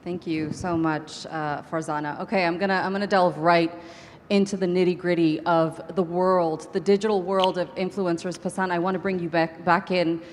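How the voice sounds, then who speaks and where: A woman's voice: lowish voice, fairly even intonation, normal speed, a little hiss or hum. A woman moderator hosting a panel discussion on stage in a large hall. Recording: reverberant hall with background ambience.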